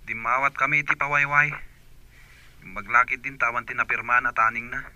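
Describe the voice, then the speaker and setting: Man acting sharp telephone-filtered Ilocano brother. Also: mid-range voice, fairly even intonation, fairly quick, no room sound. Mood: exasperated. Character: exasperated younger brother calling by telephone. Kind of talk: Ilocano radio drama acting. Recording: dull filtered telephone line.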